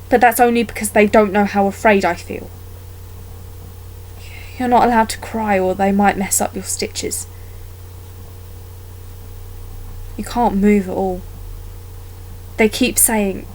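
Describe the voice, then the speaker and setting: Woman acting a haunted, halting trauma survivor. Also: mid-range voice, darkish tone, lightly acted, rough recording. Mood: haunted, afraid. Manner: halting, deliberate storytelling with pauses. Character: wounded young woman haunted by a childhood dog attack. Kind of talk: dramatic monologue.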